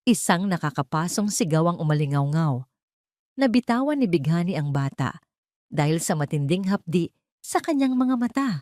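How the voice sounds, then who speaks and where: A woman's voice: fairly low pitch, very animated, moderate pace, darkish tone, dry recording, clean studio sound. A woman narrator reading a scripted story in a dramatic, suspenseful way.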